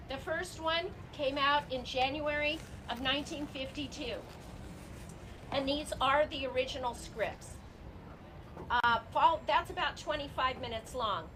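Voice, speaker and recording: High-voiced woman hosting, noisy live recording. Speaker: host introducing a theater company's show. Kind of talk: informal live stage welcome speech. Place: live before an audience at a theater.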